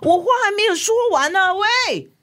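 A woman's voice: very high-pitched, lively intonation, moderate pace, fairly bright tone, no echo. An actress playing a meddling, matchmaking older mother in a radio drama, fussy, insistent and prying, with a Singaporean accent. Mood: scolding.

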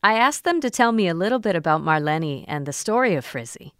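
A woman's voice: fairly low pitch, big pitch swings, brisk, fairly bright tone, smooth, no room sound, clean studio sound. Polished woman radio host. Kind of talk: scripted intro and interview questions.

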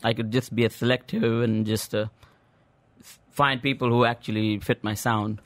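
A man at a middle pitch chats as a studio guest on radio, relaxed and informal. His speech is loose and full of fillers, and the sound is dry and close. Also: normal speed, no room sound. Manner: casual, laid-back, many fillers. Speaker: musician guest. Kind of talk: radio interview.

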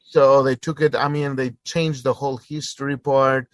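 A man's voice: mid-range voice, little pitch movement, moderate pace, no room sound. A man in his forties from Albania, speaking English, earnestly telling his story as an interview guest with fillers and restarts. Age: 40s. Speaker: guest, church planter. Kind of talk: interview answers.

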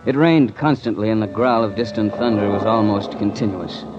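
A man plays a hard-boiled, principled American investigator in an old radio drama. His voice is on the low side, terse and measured. The recording is dull, with music clearly behind it. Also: close to an everyday voice. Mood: brooding narration. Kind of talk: 1940s-50s radio drama acting with first-person narration. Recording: muffled vintage radio with music.

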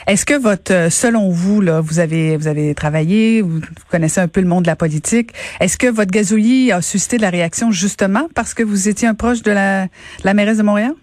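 A woman's voice: medium pitch, brisk, a little mellow, dry recording, studio quality. Quebec French woman hosting a radio interview. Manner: conversational, probing, informal asides. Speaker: radio host. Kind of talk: news interview.

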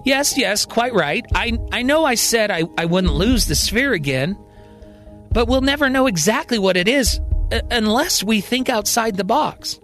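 Man putting on a high-pitched, chipper eccentric older expert. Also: expressive, normal speed, a bit bright, faint music behind. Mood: earnest, flustered. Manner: stammering, chatty, unflappable. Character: eccentric, cheerful older male expert, curious and unfazed. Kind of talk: serial science-fiction audio storytelling.

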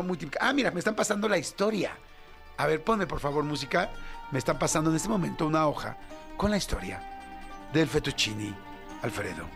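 Joking Mexican radio host, fairly high voice. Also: male voice, fairly bright tone. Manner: rambling and joking, with playful asides. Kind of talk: casual morning radio chat.

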